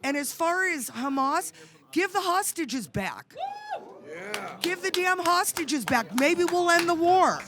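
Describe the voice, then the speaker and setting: A woman's voice: extremely high pitch, animated, takes it slowly, noisy background. A woman arguing insistently in a heated political debate, repeating figures for emphasis.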